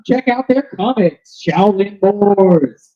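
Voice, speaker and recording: A man with a fairly high voice makes announcer-style call-outs to passersby. He stretches his words out in a smooth broadcast cadence. The audio is dull and lo-fi. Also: slow, warm tone. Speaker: booth announcer.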